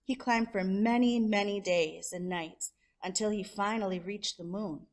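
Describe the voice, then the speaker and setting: A woman's voice: medium pitch, fairly even intonation, measured pace, a little mellow. A woman storyteller reading a children's picture book aloud expressively, drawing out descriptive words. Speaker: storyteller, show host.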